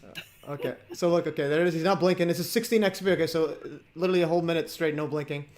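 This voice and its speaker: A man hosting a livestream, chatting casually with many fillers and pauses. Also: a little high, a little mellow. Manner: filler-heavy, chatty, laughs often. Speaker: host.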